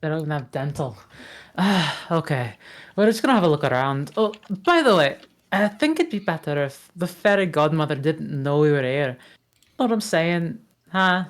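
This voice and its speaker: A woman playing a blunt, sly male ogre in a comic table read, talking in a deliberate, wry way. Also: low voice, expressive. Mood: sly, bluffing. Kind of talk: comic table read of an animated film script.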